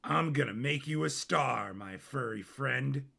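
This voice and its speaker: A man's voice: mid-range voice, measured pace, vocal fry, bright, forceful tone, exaggerated voice. A man voicing a brash, manic royal bastard turned robber in an audio story, boisterous, cocky and theatrical. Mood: excited, reassuring.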